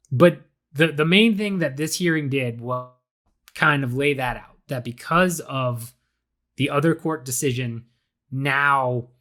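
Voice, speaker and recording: A young adult man hosting a solo podcast, talking casually with lots of fillers and restarts, his voice a bit raspy from being ill. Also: medium pitch, a bit bright. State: slightly raspy from illness. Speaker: podcast host.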